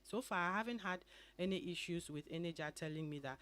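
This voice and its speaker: A woman with a Ghanaian accent, an immigration attorney answering questions calmly and methodically as a radio studio guest. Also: on the low side. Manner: measured, explanatory.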